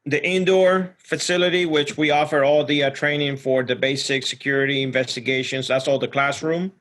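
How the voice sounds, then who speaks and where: A man's voice: medium pitch, fairly even intonation, fairly quick, fairly bright tone. A man from Ecuador talks in a mid-range voice as a guest on a podcast. He is earnest and plainspoken, keeping a casual conversational style. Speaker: podcast guest, security professional. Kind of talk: casual interview chat.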